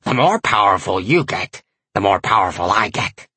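A man voicing a small, sly imp servant in an audiobook, raspy and servile, feigning innocence while needling his master. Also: lowish voice, animated, normal speed, pushed and bright, no room sound, heavily acted. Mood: defensive.

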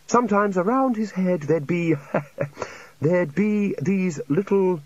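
A calm old male academic wizard, put on by a man narrating a storybook, rambling slowly as if reminiscing. The sound is dull and muffled. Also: sits high, dry recording, voice pushed up.

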